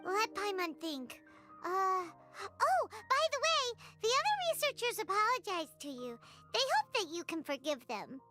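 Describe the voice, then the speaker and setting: Tiny fairy sidekick for a game: very high, squeaky, bright, childlike, blunt and curious. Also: female voice, sing-song, a little slow, exaggerated voice. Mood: sheepish, chatty. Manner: speaks of herself in the third person, exclaiming. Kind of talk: animated video game voice acting. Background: music.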